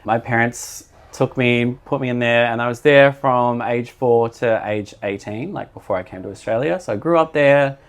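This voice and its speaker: A young man from New Zealand tells his story in a relaxed, chatty way, with only a faint trace of his accent. His pitch sits right in the middle range, and he sounds comfortable as a studio guest. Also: normal speed, hardly any pauses, clear, resonant tone. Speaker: guest, director and choreographer. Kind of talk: casual interview chat. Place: podcast studio.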